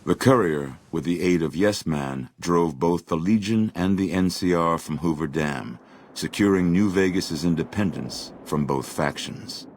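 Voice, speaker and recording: Solemn wasteland storyteller for a game: deep, measured, grave, faint noise behind. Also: male voice, a little mellow. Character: solemn male narrator of a wasteland epilogue. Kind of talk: scripted dramatic game narration.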